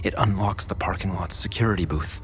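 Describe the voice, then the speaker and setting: Low-voiced anguished father. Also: a little fast, a little hiss or hum. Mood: grave, grateful. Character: anguished, determined father, a small-town history teacher. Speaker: history teacher and father. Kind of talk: video game dramatic acting.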